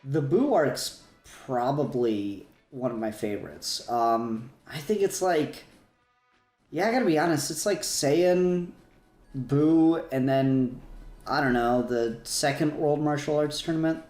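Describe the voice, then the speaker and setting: A man's voice: mid-range voice, slow, a little mellow, roomy sound, soft background music. A man hosting a casual fan discussion podcast, talking in a rambling way and often correcting himself. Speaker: podcast host.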